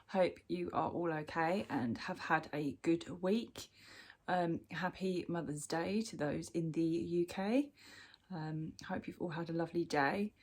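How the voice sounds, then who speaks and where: A woman's voice: fairly low pitch, a little slow. A woman from the UK chatting casually through a crochet work-in-progress update, sounding bunged up from a cold, with lots of ums and restarts. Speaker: crochet vlogger. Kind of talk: casual show-and-tell chat.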